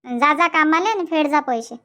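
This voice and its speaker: A sarcastic, grumbling village wife played by a woman in a cartoon comedy. Her voice is extremely high, almost childlike, with a Varhadi Marathi accent. She rambles on in resentful, thrifty complaint. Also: moderate pace, sharp tone. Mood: sarcastic, huffy. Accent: Varhadi Marathi from Vidarbha. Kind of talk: dubbed cartoon comedy dialogue.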